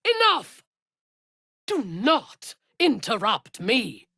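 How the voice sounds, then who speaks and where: An actress voicing a ruthless, imperious female cult commander in a fantasy video game, with a measured, cold and menacing delivery. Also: on the high side, wildly swooping pitch, creaky, sharp tone, slightly rough, no room sound, studio quality, full character voice. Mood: cold, commanding.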